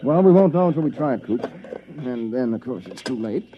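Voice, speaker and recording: An actor playing a practical, matter-of-fact expedition leader giving orders in an old radio drama. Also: male voice, mid-range voice, lively intonation, brisk, crowd noise, natural voice. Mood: practical, dry. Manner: matter-of-fact, directing others. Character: practical, no-nonsense leader of stranded time-travel expedition. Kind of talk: 1950s radio drama acting. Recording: dull, band-limited vintage radio recording.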